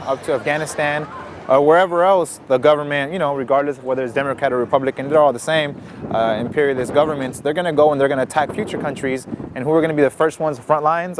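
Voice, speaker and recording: A man, a community organizer and teacher, speaks in a fast, heated interview outdoors, with many fillers. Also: a little high. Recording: noisy street audio.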